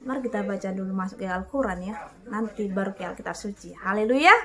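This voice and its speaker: A woman in her thirties giving an animated, casual sermon-style talk, often addressing her listeners as "Bapak Ibu" and reading verses aloud. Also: mid-range voice, fast talker. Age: 30s. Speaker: online preacher.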